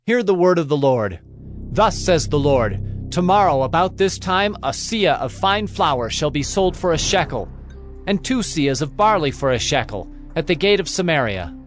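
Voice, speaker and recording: Man playing stern old testament prophet, raised-pitch voice, declamatory, audio bible, soft music under. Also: sing-song, normal speed. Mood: solemn, commanding. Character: authoritative Hebrew prophet, bold and stern. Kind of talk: dramatized audio Bible acting.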